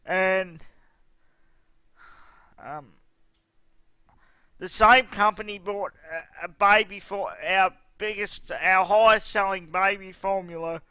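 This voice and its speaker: A man's voice: sits high, steady tone, takes it slowly, pauses often, bright, forceful tone, smooth, no room sound. A man hosting a video, giving scornful, indignant commentary. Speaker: video host. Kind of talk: opinionated commentary.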